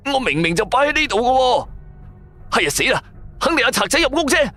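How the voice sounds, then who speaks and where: A man with a Cantonese accent voicing a jumpy, superstitious, self-serving sidekick in an audio drama, excitable and wheedling. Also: very high-pitched, a little fast, soft background music, voice pushed up, full character voice. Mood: alarmed.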